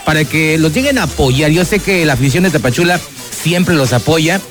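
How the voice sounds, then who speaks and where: A man with a Mexican accent hosting a lively radio interview, chatty and enthusiastic, speaking straight to listeners. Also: on the high side, moderate pace. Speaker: radio show host. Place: radio studio booth. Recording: music playing behind the voice. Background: music.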